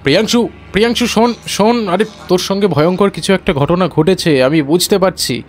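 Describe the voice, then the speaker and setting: An actor playing a concerned friend, urgent and coaxing, in a dramatised audio story. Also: male voice, high voice, a little fast, natural voice. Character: concerned male friend calming a terrified man.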